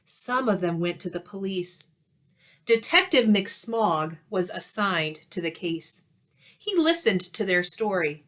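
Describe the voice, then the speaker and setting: Slow, animated woman reading a storybook. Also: medium pitch. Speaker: storyteller reading a picture book aloud. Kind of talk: expressive children's read-aloud narration.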